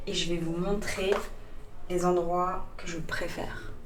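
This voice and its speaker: A woman's voice: fairly low pitch, flat delivery, unhurried. A woman from Poland who grew up in Italy, hosting a casual travel vlog in an informal, slangy, exclamatory way. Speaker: vlog host. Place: outdoors in busy city streets and markets. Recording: loud outdoor market crowd and noise.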